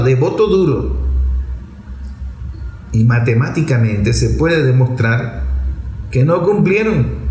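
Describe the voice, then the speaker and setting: Venezuelan man, higher-pitched voice, roomy echo. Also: darkish tone. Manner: didactic, repeats phrases for emphasis, asks rhetorical questions. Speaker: political commentator addressing an online audience. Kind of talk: extemporaneous political commentary. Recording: roomy echo with faint background noise.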